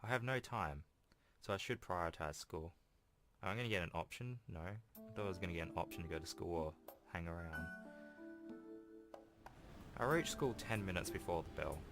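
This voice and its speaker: A man's voice: low voice, normal speed, long pauses. A young man doing casual let's-play commentary and reading game text aloud. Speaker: gaming YouTuber doing a playthrough. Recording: home recording with game music behind. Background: video game music.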